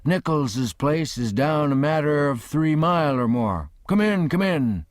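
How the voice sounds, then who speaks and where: A man voicing a hospitable old gentleman with a Southern accent, coaxing and kindly. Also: a little high, animated, unhurried, dry recording, studio quality, pitched above the performer's own voice. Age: older. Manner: warm, coaxing, hesitant when flustered.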